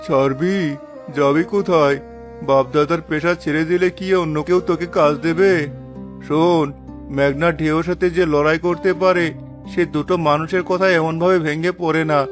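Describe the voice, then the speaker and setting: A man's voice: fairly high pitch, fairly even intonation, normal speed, faint music behind, lightly acted. A man voicing a wise older village uncle in a cartoon, a firm and encouraging mentor to a younger fisherman. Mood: consoling, firm. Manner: firm, encouraging, proverb-laden. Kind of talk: dramatised cartoon dialogue.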